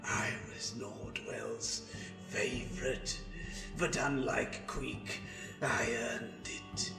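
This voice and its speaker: A man's voice: medium pitch, steady tone, very slow, vocal fry. A man voicing a proud, scheming ratman warrior in fantasy character acting, theatrical and emphatic. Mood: bitter boasting. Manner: theatrical, emphatic, swinging from hiss to shout. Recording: echoey room with faint music.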